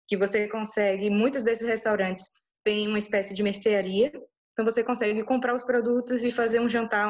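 Enthusiastic Brazilian woman from Bahia. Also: mid-range voice. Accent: Brazilian Portuguese. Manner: enthusiastic, chatty, many restarts. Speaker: interview guest. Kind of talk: casual interview answers.

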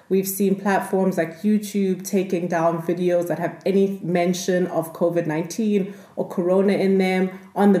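A woman who grew up in South Africa, co-hosting a law and technology podcast and explaining topics in a relaxed, conversational way. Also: on the low side. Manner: relaxed and explanatory, with frequent fillers. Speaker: podcast co-host, law researcher. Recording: echoey room.

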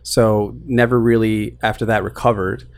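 A man's voice: lowish voice, flat delivery, normal speed, vocal fry. A young man from Queens, New York, talking casually as an interview guest about starting his business, easygoing and self-deprecating with frequent restarts. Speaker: guest, lending business co-founder.

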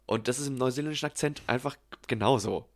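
A man's voice: mid-range voice, fast talker. A man chatting casually and with strong opinions about a film on a podcast. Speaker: podcast co-host. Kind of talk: casual film review chat.

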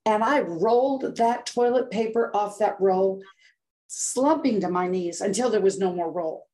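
A woman's voice: mid-range voice, a little slow, dark tone. An older American woman, a health coach guest, telling a personal story with dramatic flair and acted-out quotes. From United States. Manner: dramatic, acts out quotes with voice shifts. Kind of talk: emotional storytelling in interview.

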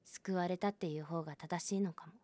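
A woman voices a stubborn, lonely high school girl in a voice drama. She speaks quietly and confessionally. The recording is dry and close. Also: fairly even intonation, fairly quick, dry recording, lightly acted. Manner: quiet, confessional, rising to anguished outbursts. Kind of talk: voice drama acting.

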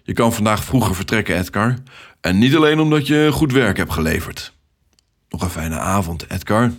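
A man's voice: fairly low pitch, animated, normal speed. A man voicing a friendly, chatty office boss in a children's story, teasing and enthusiastic.

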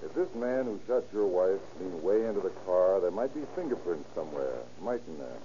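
Low, dark-voiced police deputy. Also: male voice, animated. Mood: probing, skeptical. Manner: measured, matter-of-fact questioning. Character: probing, matter-of-fact male police deputy. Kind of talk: 1940s radio crime drama acting. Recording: muffled, noisy old radio recording.

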